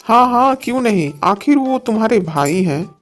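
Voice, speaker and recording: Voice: medium pitch, lively intonation, normal speed, natural voice. An actor playing a kind, agreeable young husband in a cartoon moral story. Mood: sympathetic. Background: background music.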